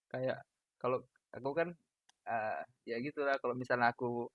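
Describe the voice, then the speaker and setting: A young man from Medan with a Medan accent, a big booming voice and a loud, laughing way of chatting as a podcast host on a call. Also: steady tone, normal speed, no echo. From Medan, Indonesia. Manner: loud, laughing, self-deprecating. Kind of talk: casual chat over a video call. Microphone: video call audio. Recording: dull lo-fi call audio, dry.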